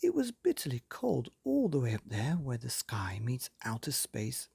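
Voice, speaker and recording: A man hosting and reading a children's bedtime story, with theatrical, dramatic emphasis and drawn-out words. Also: medium pitch, wildly swooping pitch, creaky, a little mellow, breathy edge, dry recording, studio quality. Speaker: podcast host and story reader.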